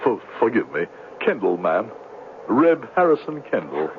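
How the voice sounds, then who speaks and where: A pious, smooth-talking conman posing as a missionary in a radio play. His delivery is solemn and swoops widely in pitch, with theatrical hesitations. It comes through a thin, noisy old broadcast. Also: big pitch swings, measured pace, phone-quality sound. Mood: solemn, sorrowful. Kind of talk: 1970s radio drama acting.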